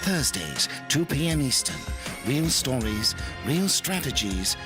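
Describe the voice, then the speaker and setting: A man reading the show's closing promo in a punchy, measured announcer style. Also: medium pitch, very animated. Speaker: show announcer. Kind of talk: scripted promo read. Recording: announcer over loud music. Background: music.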